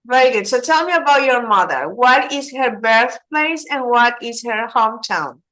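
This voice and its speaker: A woman's voice: fairly high pitch, lively intonation, normal speed, sharp tone, poor audio. A woman teaching English, patiently prompting and correcting her student. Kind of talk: online English lesson.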